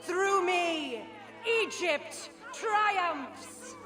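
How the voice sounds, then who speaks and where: A woman's voice: very high-pitched, animated, extremely slow, heavily acted. An actress playing an imperious queen who proclaims herself a living goddess, in regal, declamatory video game oratory. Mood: triumphant, solemn. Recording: public speech under music and crowd.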